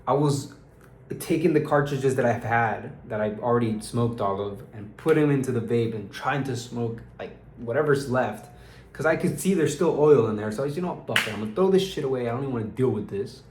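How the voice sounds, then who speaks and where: A man's voice: mid-range voice, fairly quick, a little mellow, roomy sound. A young man from Peru with an American accent, talking through a casual diary vlog in a rambling, slang-heavy way and sounding tired. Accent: American English. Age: young adult. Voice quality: rambling, restarts often, uses slang like dude and bro. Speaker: vlogger.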